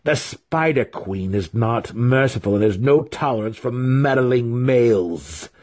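A man voicing an imperious, monstrous female demon handmaiden in a fantasy audiobook, snarling slowly with contempt. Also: mid-range voice, animated, darkish tone, pitched above the performer's own voice. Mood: scornful, menacing. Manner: snarling, slow, drawn-out contemptuous delivery.

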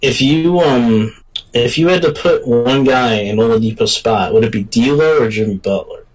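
A man hosting a casual sports talk show, speaking in a deliberate, halting way. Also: medium pitch, recorded from a distance, poor audio. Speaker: sports podcast host.

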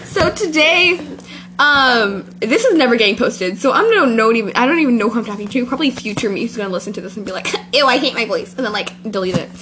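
A woman's voice: a little high, animated, quick pace, background noise. A teenage girl hosting a homemade podcast, rambling through a school story with lots of giggling. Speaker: podcast host. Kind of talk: casual chat.

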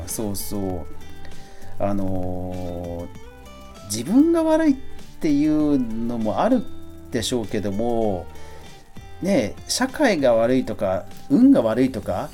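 Middle aged guy doing a solo podcast, super up and down pitch, soft mellow tone, chatty and self-deprecating, faint guitar music behind. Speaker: podcast host. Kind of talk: casual solo talk answering a listener letter.

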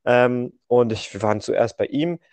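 A young man co-hosting a podcast in casual conversation, telling things in a halting way and often correcting himself. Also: mid-range voice, darkish tone. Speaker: podcast co-host. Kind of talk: casual chat.

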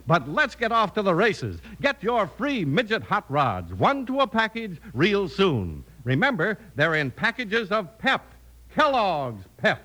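A man's voice: sits high, very animated, measured pace, pushed and bright. An American man announcing and narrating on 1950s radio with punchy, eager delivery.

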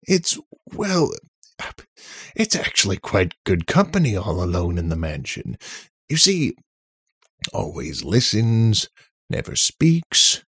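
Guy doing a nervy awkward inventor for an audiobook, stumbles over words, slow, kinda raspy. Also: on the low side, huge pitch swings, a bit bright, no room sound, clean studio sound, pitched above the performer's own voice, exaggerated voice. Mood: sheepish, defensive. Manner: halting, stammering. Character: awkward, defensive male inventor, stumbling and sheepish.

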